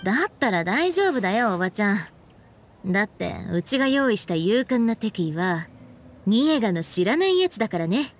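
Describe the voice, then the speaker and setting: Cool, laid-back young woman, medium pitch. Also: very animated. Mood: casual, cool. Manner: casual, blunt, unhurried, pointed questioning. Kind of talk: anime game voice acting. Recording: background music under the voice.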